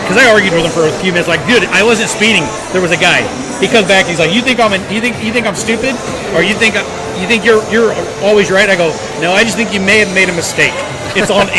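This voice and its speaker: High-voiced man over loud crowd and music. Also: fast, rough recording. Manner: acts out dialogue, informal. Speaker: podcast co-host telling an anecdote. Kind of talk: casual animated storytelling. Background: loud crowd chatter and music.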